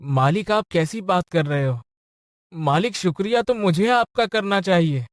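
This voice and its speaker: A man's voice: sits high, animated, unhurried, no echo, studio quality. A man voicing a poor, honest servant in a cartoon story, humble and pleading, stammering at times.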